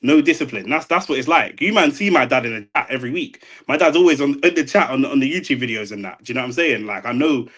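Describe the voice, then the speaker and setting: A man's voice: mid-range voice, lively intonation, quick pace, hardly any pauses, a bit bright, husky. A young man, co-hosting a boxing chat, blunt and opinionated with street slang. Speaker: boxing podcast co-host. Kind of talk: casual chat.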